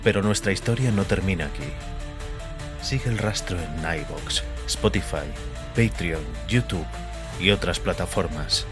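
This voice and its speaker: A man's voice: deep voice, a little slow. A man narrating a scripted short story for an audio fiction show. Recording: music under the voice. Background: music.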